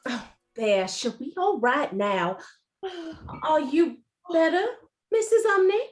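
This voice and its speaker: A woman's voice: sits high, sing-song, heavily acted. A woman playing a fussy, commanding wife and mother, easily alarmed, in a theatrical radio play style. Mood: concerned. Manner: bossy, brisk orders, theatrical.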